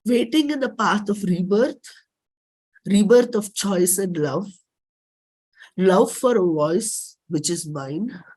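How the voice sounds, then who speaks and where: A performer with an Indian accent and a husky voice, giving a dramatic, deliberate reading of a personal play script. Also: mid-range voice, expressive, a little mellow. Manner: measured, deliberate, theatrical phrasing.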